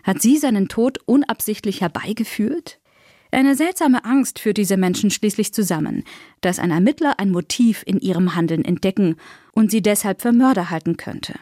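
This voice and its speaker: A woman's voice: mid-range voice, normal speed, dark tone, no echo. Female narrator, mid-range voice, reading a prepared summary, very dry sound. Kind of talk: scripted narration.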